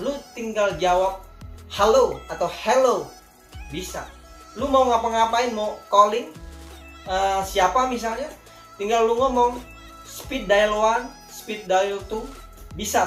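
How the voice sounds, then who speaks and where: A man's voice: high-pitched, fairly bright tone. A man with a Jakarta Indonesian accent giving a casual product review in informal, colloquial talk, often addressing his viewers directly. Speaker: vlogger reviewing gear. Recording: echoey room with faint music.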